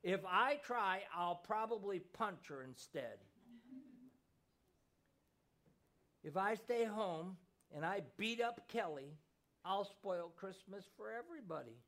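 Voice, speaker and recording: A man's voice: high-pitched, measured pace. A man doing a tearful little boy. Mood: sobbing. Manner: choked, sniffling, pleading.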